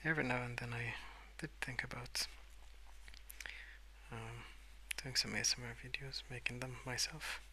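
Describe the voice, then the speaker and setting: A young adult man softly rambling in a breathy half-whisper for ASMR, relaxed and unhurried, close to the microphone. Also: medium pitch, slight background noise, rough recording. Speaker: ASMR video creator. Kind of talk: soft-spoken ASMR rambling while colouring.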